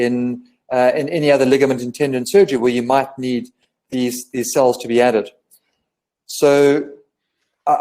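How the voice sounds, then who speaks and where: Guy on a video call walking through his company's story, lots of ums and restarts, middle-pitched voice. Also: mellow, poor audio. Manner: fluent, restarts with ums while explaining. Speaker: guest from a medical company.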